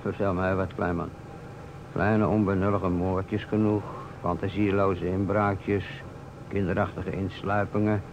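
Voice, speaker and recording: An actor playing a world-weary, talkative police inspector in a radio drama, wry and grandiloquent. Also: male voice, low-pitched, level tone, unhurried. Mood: wry lament. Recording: muffled, noisy old radio recording.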